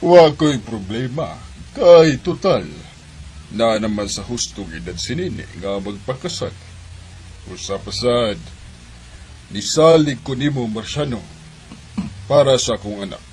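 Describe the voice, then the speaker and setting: A Filipino man with a Cebuano accent playing an agreeable, protective middle-aged father in a radio drama, speaking in a measured, homely way. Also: medium pitch, lively intonation, darkish tone, slight background noise. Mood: approving, solemn. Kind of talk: Bisaya radio drama acting.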